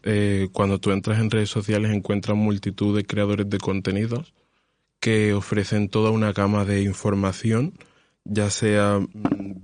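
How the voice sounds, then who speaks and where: Young man, low voice, flat delivery, dry close recording, thoughtful podcast panelist. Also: dry recording. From Spain. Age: 20s. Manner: measured, thoughtful, hesitant fillers. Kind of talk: podcast discussion.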